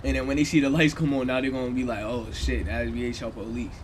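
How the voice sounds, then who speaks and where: A man's voice: mid-range voice, flat delivery, fast, a little hiss or hum. A young man streaming a police roleplay session, giving loose, slangy instructions to his players over a headset.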